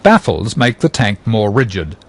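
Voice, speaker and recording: A man narrating an educational film in a scripted, measured and precise explanatory manner. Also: lowish voice, big pitch swings.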